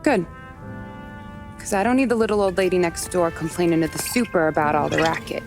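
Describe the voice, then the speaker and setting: A woman's voice: lowish voice, lively intonation, fairly quick. An actress playing a tough, sardonic young woman who talks bluntly and bosses people around. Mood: wry. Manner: blunt, wry, bossy. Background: game score music.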